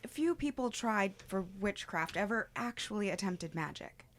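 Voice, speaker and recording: A woman's voice: medium pitch, lively intonation, moderate pace, close to an everyday voice. A woman playing an encouraging class instructor in an amateur audio drama, speaking in an orderly classroom manner. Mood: brisk, encouraging. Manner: clear, orderly classroom address.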